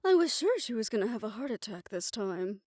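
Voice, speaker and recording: A woman playing a calm, wry, curious-minded friend in an audio drama, giving caution in a measured, gentle way. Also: a little high, dry recording, clean studio sound. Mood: gentle warning. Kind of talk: scripted audio roleplay drama acting.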